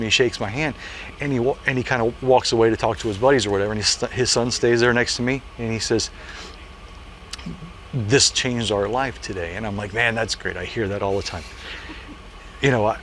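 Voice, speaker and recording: A man's voice: lowish voice, a bit bright, a little hiss or hum. A man in his late forties, a parachute jumpmaster, telling stories in a casual interview with an earnest manner and many "you know" fillers. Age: late 40s.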